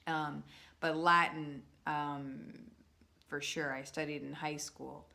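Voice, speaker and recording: A woman talking to her viewers in a casual, rambling monologue, slowing down to stress words and restarting her sentences often. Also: low-pitched, flat delivery, vocal fry, pushed and bright. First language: English. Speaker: vlogger.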